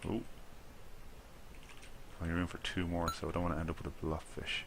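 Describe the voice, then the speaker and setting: A man's voice: sits low, level tone, normal speed, creaky, noisy background. An Irish man talking casually to himself while playing a video game, rambling and chuckling at his own mistakes. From Ireland. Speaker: gaming video creator. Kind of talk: casual gameplay commentary.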